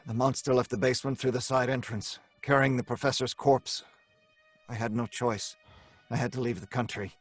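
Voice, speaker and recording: A guilt-ridden, defiant male scientist tells his story in an old radio horror style, played by a man. His voice is medium-pitched, grave and deliberate. It sounds close and dry. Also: steady tone, no room sound, faint music behind, natural voice. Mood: grim confession. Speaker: lead character and narrator. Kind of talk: old-time radio drama acting.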